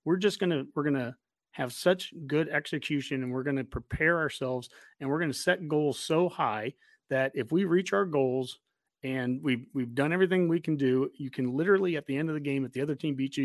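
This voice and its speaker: A man's voice: a little high, no echo, clean studio sound. An American man hosting a casual sports discussion, talking in an emphatic, opinionated way. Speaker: podcast host.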